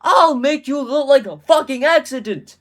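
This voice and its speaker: A woman's voice: high-pitched, moderate pace, a bit bright, voice pushed up. A woman voicing a furious, menacing, foul-mouthed cat man, screaming threats in a story. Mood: furious threatening.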